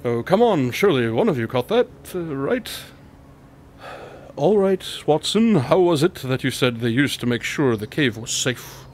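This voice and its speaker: A man's voice: medium pitch, sing-song, normal speed, close to an everyday voice. Man acting confident, needling detective, background noise. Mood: wryly impatient. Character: confident, needling detective, sharp and wryly impatient. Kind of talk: radio drama dialogue.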